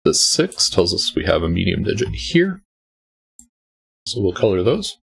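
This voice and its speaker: A man hosting a sudoku walkthrough, gravelly and nearly losing his voice, explaining each step patiently with many ums. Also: low-pitched, sing-song, a little slow, fairly bright tone. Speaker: sudoku puzzle setter and walkthrough host. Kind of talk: explaining a puzzle solve.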